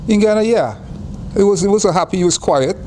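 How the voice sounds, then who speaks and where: An older man from Guyana, telling his life story in an interview in an unhurried way, often repeating and restarting phrases. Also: sits high, animated, warm tone, slight background noise.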